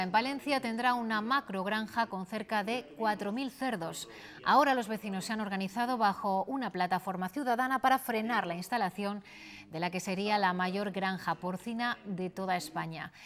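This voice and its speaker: A woman's voice: medium pitch, normal speed, fairly bright tone. A woman with a Castilian Spanish accent presenting the news in a scripted, formal reading style.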